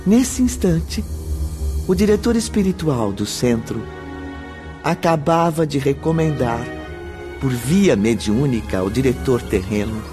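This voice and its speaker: A man with a Brazilian accent as the solemn storyteller of a radio drama, narrating in a formal, deliberate manner. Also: mid-range voice, lively intonation, close to an everyday voice. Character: solemn omniscient male storyteller. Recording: music clearly behind the voice.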